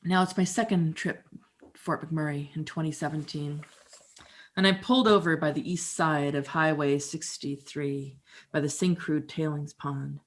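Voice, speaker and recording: Woman telling a story in a low, reflective voice. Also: steady tone, moderate pace. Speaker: storyteller and musician. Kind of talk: dramatic reading of documentary theater.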